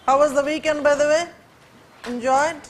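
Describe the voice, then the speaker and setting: A woman's voice: sits high, fairly even intonation, moderate pace, slight background noise. A woman from India, whose first language is Urdu, gives a classroom lecture in Indian English, hesitant and full of fillers. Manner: hesitant, many fillers and restarts. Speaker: teacher.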